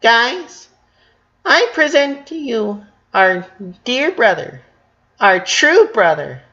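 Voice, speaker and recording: A man's voice: extremely high pitch, sing-song, takes it slowly, pushed and bright, lo-fi sound, pitched above the performer's own voice, exaggerated voice. A man voicing a bubbly, scatterbrained, sweet teenage sister who talks in hesitant valley-girl phrasing in a story reading. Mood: proud, excited.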